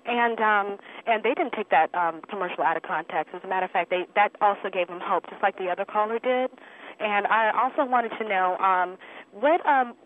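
A woman's voice: mid-range voice, moderate pace. A woman calling in to a radio show to make a comment. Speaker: radio phone-in caller. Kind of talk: phone-in comment. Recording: noisy narrow telephone line.

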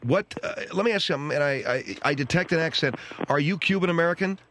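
A man's voice: fairly high pitch, fast, a bit bright, a little hiss or hum. A man hosting a radio call-in show, probing callers with questions. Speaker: radio talk show host.

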